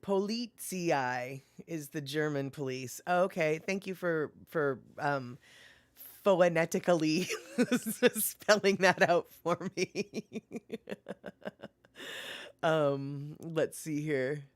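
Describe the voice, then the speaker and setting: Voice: mid-range voice, takes it slowly, no echo. A livestream host chatting casually and reading viewer comments aloud, laughing often and musing while scrolling. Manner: frequent fillers like "let's see here".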